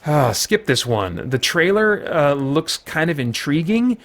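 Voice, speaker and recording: A man's voice: fairly high pitch, sing-song, measured pace, a bit bright, a little hiss or hum. A man giving an unscripted review to viewers as the host, conversational and often correcting himself as he goes. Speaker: film reviewer, channel host.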